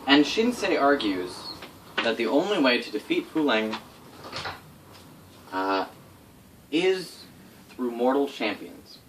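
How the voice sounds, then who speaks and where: A man recounts fantasy game lore as a game master, his pitch moving around in lively swings. He talks casually and rambles, correcting himself as he goes. He sounds a little way from the mic, with faint noise behind him. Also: takes it slowly, pauses often, recorded from a distance. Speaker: game master recounting setting history to players. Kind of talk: informal storytelling of tabletop game lore.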